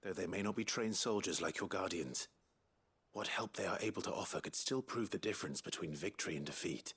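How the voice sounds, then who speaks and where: A resolute noble warrior from a fantasy game. His voice is very deep and rough with husk. He speaks in a terse, understated, grave way. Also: brisk, fairly bright tone, heavily acted. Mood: grave, earnest. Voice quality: husky, gravelly. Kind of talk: fantasy video game voice acting.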